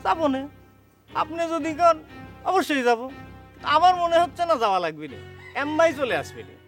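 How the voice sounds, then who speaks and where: A man's voice: very high-pitched, very animated, brisk, fairly bright tone, soft background music, exaggerated voice. An actor playing a deferential, stalling village henchman in a comedy drama. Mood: placating. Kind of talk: Bengali TV comedy drama acting.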